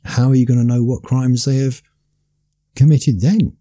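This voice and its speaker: A man's voice: medium pitch, steady tone, moderate pace, warm tone, no room sound, clean studio sound. A man giving an impassioned solo commentary, talking emphatically and often restarting his sentences.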